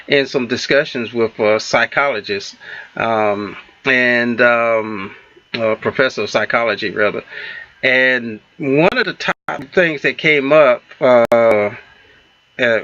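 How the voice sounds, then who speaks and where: Southern man with bright, dull-sounding audio. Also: medium pitch. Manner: halting, many fillers and restarts, laughs easily. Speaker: talk show host. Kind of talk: casual radio talk show chat.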